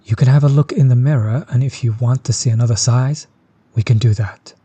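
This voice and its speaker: A man playing a chill, friendly tattoo artist in an intimate audio roleplay, soft-spoken, easygoing and reassuring. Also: mid-range voice, fairly even intonation, brisk, husky, no room sound, close to an everyday voice. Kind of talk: intimate one-sided audio roleplay, casual chat.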